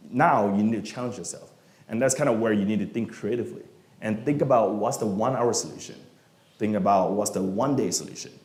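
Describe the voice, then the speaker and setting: A man from Malaysia, a software engineer, answering questions at a meetup in a relaxed, casual way with many fillers, often trailing off quietly. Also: fairly low pitch. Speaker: guest speaker, software engineer. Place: meetup event room. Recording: echoey room.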